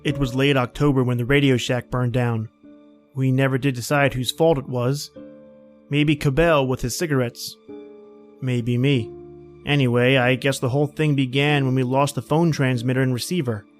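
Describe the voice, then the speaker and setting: A man's voice: mid-range voice, fairly even intonation, normal speed, lightly acted. Man acting laconic Arctic surveyor over music. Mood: reflective, grave. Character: Jack, calm hardy surveyor narrating his Arctic winter. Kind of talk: radio drama narration and dialogue.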